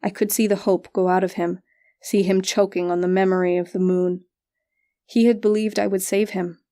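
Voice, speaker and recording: Woman reading a fantasy short story, first person, very mellow warm tone, medium pitch. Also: little pitch movement, moderate pace. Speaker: audiobook narrator.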